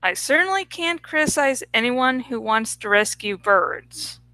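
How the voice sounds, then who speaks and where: A grumpy teenage boy living as a hawk, acted by a woman reading a story. The voice is bright and lifts fairly high, with wry, measured delivery. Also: lively intonation, no room sound, full character voice.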